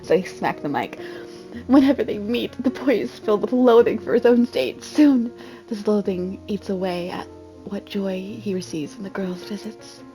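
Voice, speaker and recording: Woman, medium pitch, dramatic game-story reading, casual self-deprecating asides, music underneath. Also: normal speed, mellow. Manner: self-deprecating, often breaking off to comment on her reading. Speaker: gamer reading a video game's story aloud.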